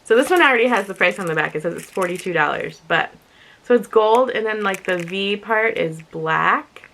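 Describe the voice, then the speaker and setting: A woman with a slightly raspy voice, chatting casually and thinking aloud as she presents an unboxing video with giggly asides. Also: medium pitch, lively intonation, moderate pace, a bit bright, slight background noise, rough recording. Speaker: unboxing and review vlogger.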